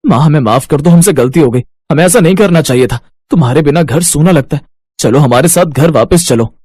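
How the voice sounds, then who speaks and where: A man playing a husky, pushy grown son. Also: fairly high pitch, brisk, darkish tone, no room sound, clean studio sound. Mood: pleading, insincere. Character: grown son, scolding, greedy, falsely contrite.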